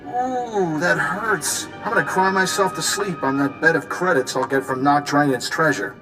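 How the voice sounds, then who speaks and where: An actor playing a smug, mocking villainous smuggler in a video game, with a sarcastic, swaggering delivery. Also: male voice, fairly high pitch, normal speed, close to an everyday voice, poor audio. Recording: music behind the voice.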